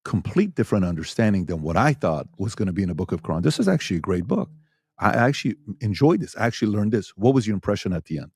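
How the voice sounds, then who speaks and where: A man's voice: on the low side, big pitch swings, quick pace, darkish tone, slightly rough. A man hosting a podcast interview, asking curious, leading questions. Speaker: podcast host.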